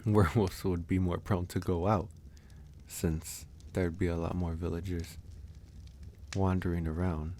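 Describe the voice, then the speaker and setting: Man as a low, slow-talking easygoing werewolf. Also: a little mellow, a little hiss or hum. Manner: unhurried, musing delivery with sighs and pauses. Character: easygoing male werewolf host, calm and candid. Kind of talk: ASMR audio roleplay, intimate one-sided conversation.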